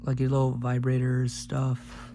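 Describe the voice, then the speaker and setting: Male teardown host, mid-pitched and flat, casual rambling commentary with offhand jokes, clearly noisy humming recording. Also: unhurried. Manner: rambling, jokey offhand remarks. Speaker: host of a gadget teardown video.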